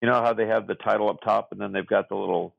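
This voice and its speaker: A man's voice: on the low side, fairly even intonation, quick pace, vocal fry, dry recording, highs cut off. A man co-hosting a podcast in a casual, rambling way, full of fillers and restarts as he leads a community segment and trivia. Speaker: podcast co-host running trivia.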